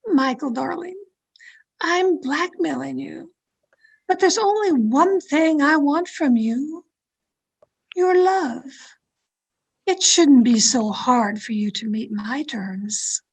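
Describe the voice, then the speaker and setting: Woman acting a high-voiced, lovestruck radio-mystery heroine. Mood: coolly scheming. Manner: melodramatic, pleading, deliberate. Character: obsessive, lovestruck woman mystery novelist turned blackmailer. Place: at home, online video-call performance.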